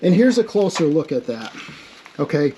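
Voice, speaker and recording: A man's voice: a little high, very animated, normal speed, dark tone, a little hiss or hum, poor audio. A man giving an informal hands-on technical explanation as a hobbyist, thinking aloud in a casual, self-deprecating way. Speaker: amateur radio experimenter demonstrating a homemade setup.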